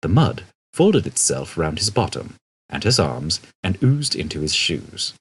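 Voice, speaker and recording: A man's voice: low-pitched, very animated, measured pace, slightly rough. A man reading a comic novel aloud as a scripted storybook narration. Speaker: audiobook narrator.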